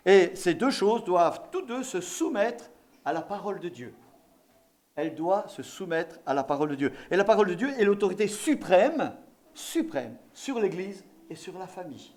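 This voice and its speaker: A man's voice: high-pitched, sing-song, moderate pace, a little mellow. A man from eastern France preaching a sermon as a visiting pastor, exhorting and repeating key phrases for emphasis.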